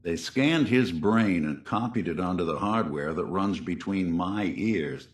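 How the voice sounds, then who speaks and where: Video game robot detective: American, middle-aged, low register, noir slang, tired decency, audible room reflections. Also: male voice, animated, reverberant. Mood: wry, reflective. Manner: wry, old-time hardboiled slang, halting when confiding. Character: weary, kindhearted synth detective robot with an old cop's memories.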